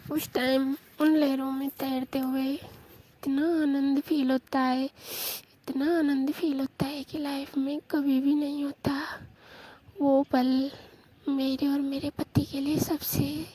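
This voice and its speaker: A woman telling an intimate romantic story in a confessional style, with drawn-out, repetitive phrasing. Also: high voice, mellow, a little hiss or hum, rough recording. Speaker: storyteller in a staged intimate phone conversation.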